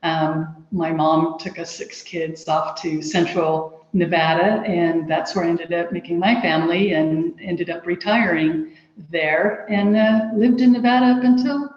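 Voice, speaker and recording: A woman's voice: fairly low pitch, fairly even intonation, a little slow, a little mellow. A woman from the United States answering interview questions in a friendly, conversational way. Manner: friendly, laughs often. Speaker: guest. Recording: echoey dull-sounding room.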